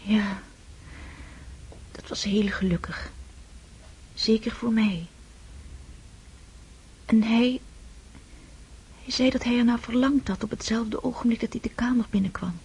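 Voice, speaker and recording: A woman playing Natasha, a hushed fragile young woman. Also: fairly high pitch, heavily acted, rough recording. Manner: hushed, breathy, halting. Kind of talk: radio play acting.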